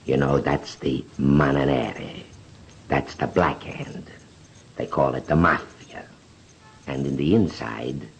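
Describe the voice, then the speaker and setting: Streetwise American underworld informant, man, extremely deep and gravelly, blunt slangy delivery, old noisy radio recording. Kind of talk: slangy tough-talking testimony on old radio.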